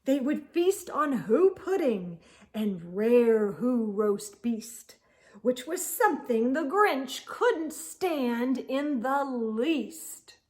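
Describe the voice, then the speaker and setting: A woman reading a children's picture book aloud as a storytime host, expressive and theatrical, with drawn-out emphasis. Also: high-pitched.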